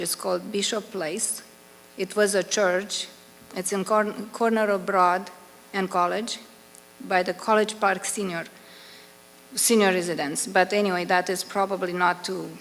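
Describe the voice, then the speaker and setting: A middle-aged Romanian woman speaks up at a public meeting, earnest and effusive, stopping now and then to search for words. Her voice has a gravelly fry and stays flat in pitch. The sound carries a long hall reverb with a faint hum behind it. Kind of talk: public comment at a consultation meeting.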